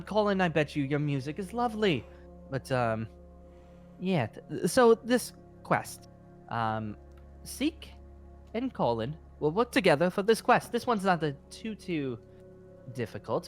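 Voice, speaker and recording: Guild master mage played by a man: higher-pitched, cheerful, rambling and courteous, hesitant delivery, game roleplay. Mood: reassuring. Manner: hesitant, halting, polite. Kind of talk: improvised Minecraft roleplay acting.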